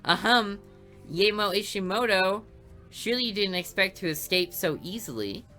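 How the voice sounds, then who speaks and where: A woman's voice: medium pitch, animated, normal speed, pushed and bright, soft background music. A woman voicing a stern, strict female schoolteacher who scolds in a prim, formal way. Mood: scolding.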